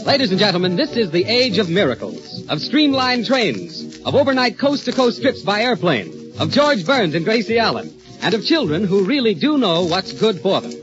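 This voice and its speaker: A male American radio announcer from the 1930s delivering scripted introductions and sponsor pitches on a vintage broadcast. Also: big pitch swings, normal speed. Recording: dull vintage 1930s radio, music behind. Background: music.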